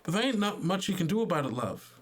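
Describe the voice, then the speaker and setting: Guy doing a gentle folksy lady in a tabletop game, pitched up, kinda halting and persuasive. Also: big pitch swings, slightly rough, heavily acted. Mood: consoling, halting.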